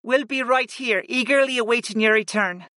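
Male narrator doing a tender young woman mage, high-pitched and crying softly; audiobook character voice, dry close sound. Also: moderate pace, no room sound. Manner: soft, tearful and pleading. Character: tearful young woman mage, affectionate and emotional.

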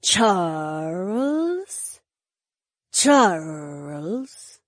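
A woman voicing a feral, curious female imp in an audiobook, husky and halting as she echoes words. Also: lowish voice, big pitch swings, studio quality, exaggerated voice. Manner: halting, drawn-out echoing of single words. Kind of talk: audiobook character acting.

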